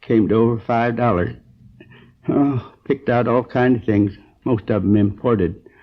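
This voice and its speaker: An American man playing an injured, groggy storekeeper in his sixties, rambling haltingly and earnestly in a 1950s radio crime drama. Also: medium pitch, natural voice. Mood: groggy, hazy. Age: 60s. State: groggy, in pain. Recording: dull old 1950s radio recording.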